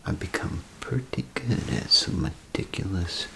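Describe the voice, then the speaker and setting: A man doing a soft-spoken, half-whispered ASMR role play as a chatty, grumbling bathroom attendant, rambling and hesitant in a folksy way. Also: on the low side, unhurried. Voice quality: gravelly, breathy half-whisper. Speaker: ASMR performer.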